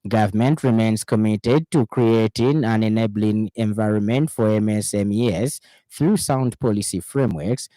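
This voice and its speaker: A man with a Zambian accent reads the news on radio. His voice is lowish and dark, with little edge to it. He is formal and measured, in a dry, close studio sound. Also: no room sound. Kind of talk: scripted news bulletin reading.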